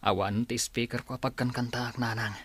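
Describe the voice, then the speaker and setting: A man's voice: medium pitch, little pitch movement, fairly quick, husky, no echo. An actor playing a polite, downcast son apologising to his mother in a radio drama. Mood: apologetic, downcast. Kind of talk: Ilocano radio drama acting.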